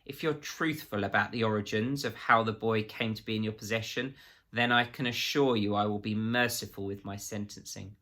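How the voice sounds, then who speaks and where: Guy voicing a nasty prissy storybook official, clipped and pompous, hard bright voice. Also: fairly low pitch, steady tone, moderate pace. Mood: icy condescension. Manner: officious, precise, clipped, menacing. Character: prissy, menacing male government agent, pompous and volatile.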